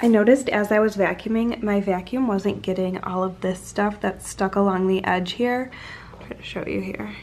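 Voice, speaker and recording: A woman's voice: medium pitch, steady tone, moderate pace, darkish tone, faint music behind. A woman, giving a casual, explanatory voiceover as a home vlogger.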